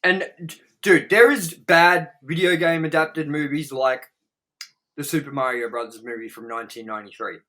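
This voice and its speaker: A man talks casually as co-host of a movie review show. His voice sits a little high and has a bright, forceful edge. He is opinionated and emphatic, swearing freely as he makes his points. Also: steady tone, normal speed.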